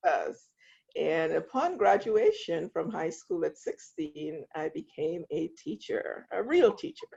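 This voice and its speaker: A middle-aged woman from Guyana speaks in a husky, gravelly voice. She tells her story reflectively and laughs often. The video-call audio sounds muffled and lo-fi. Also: low-pitched, very animated, unhurried, a little mellow. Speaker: guest, school leader. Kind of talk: interview, personal story.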